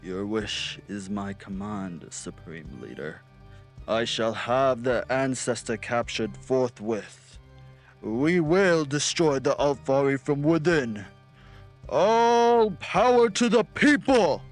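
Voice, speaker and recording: Man as a gravelly, theatrical villainous henchman. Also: medium pitch, expressive, slow, soft background music. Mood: zealous obedience. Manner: theatrical, formal, menacing. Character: cold, grandiose male assassin, zealous servant of a tyrant. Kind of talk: radio play villain acting.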